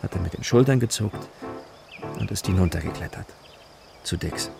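A man narrating a German children's radio play as its storyteller, in short, measured storybook phrases. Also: low voice, lively intonation, creaky, music in the background, full character voice. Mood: reflective. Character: male storyteller of a children's forest adventure.

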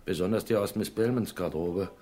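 Deep-voiced, hesitant theatre porter played by a man. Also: a little fast, warm tone, natural voice. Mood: weary, grumbling. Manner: hesitant, colloquial. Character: plain-spoken male theatre porter, tired and obliging. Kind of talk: radio crime play acting.

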